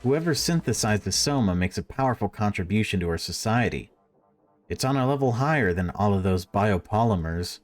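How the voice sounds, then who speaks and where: A man's voice: on the low side, lively intonation, a little fast, soft background music, close to an everyday voice. A man voicing a brash, boozy young woman from a visual novel, teasing and cocky. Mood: cocky, goading.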